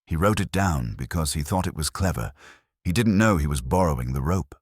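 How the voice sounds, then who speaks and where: A man voicing a steady, knowing detective's operative who reports facts laconically in a mystery story. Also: extremely low pitch, fairly quick, slightly rough, dry recording, clean studio sound, full character voice. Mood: assured.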